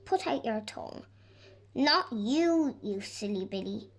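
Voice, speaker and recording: A woman's voice: a little high, animated, slow, full character voice. A woman voicing a male storybook doctor with a matter-of-fact, instructing manner.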